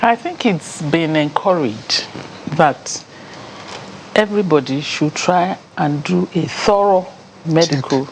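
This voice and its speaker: A woman, a professor on a TV panel, giving measured advice. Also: low voice, lively intonation, slight background noise, rough recording. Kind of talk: studio discussion.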